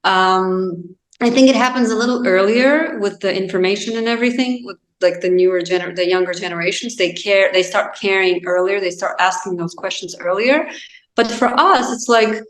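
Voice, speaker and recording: A woman, talking as a guest in a relaxed interview. Her voice sits at a middle pitch, and the room gives it a clear echo. She muses and circles back, filling her pauses with "you know" and "like". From Azerbaijan. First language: Azerbaijani. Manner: reflective, thinks aloud with many "you know" and "like". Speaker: guest author. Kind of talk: casual interview chat. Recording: roomy echo.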